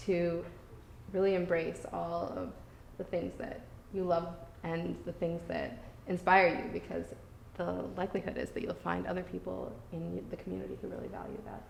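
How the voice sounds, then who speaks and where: A woman professor from Michigan addresses a room of new students in a friendly, informal way. Her voice sits a little low and carries a roomy echo with faint background noise. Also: flat delivery, moderate pace, gravelly. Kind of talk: casual talk to students.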